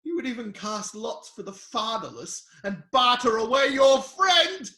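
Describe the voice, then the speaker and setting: Casting: actor for anguished, impassioned biblical sufferer, slow and halting delivery, dramatic reading in an echoing room. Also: lively intonation. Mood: indignant pleading. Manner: impassioned, halting, swinging from low murmurs to anguished shouts. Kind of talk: epic dramatic Bible reading. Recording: roomy, echoing space.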